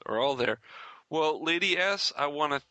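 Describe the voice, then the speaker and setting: A man's voice: fairly high pitch, animated, normal speed, bright, forceful tone, no echo. A man hosting a casual interview, chatting in a jokey way and repeating phrases for emphasis. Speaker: podcast host, interviewer.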